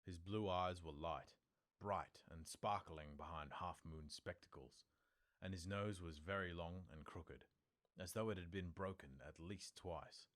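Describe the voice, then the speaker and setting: A man's voice: low-pitched, measured pace, fairly bright tone. A man reading an audiobook as its narrator, in scripted storytelling style.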